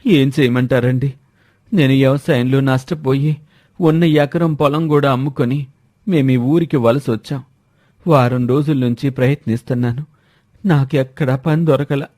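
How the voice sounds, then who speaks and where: A man's voice: mid-range voice, fairly even intonation, moderate pace, dark tone, close to an everyday voice. A man voicing a destitute migrant farmer in a village storybook, humble and plaintive. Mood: despairing.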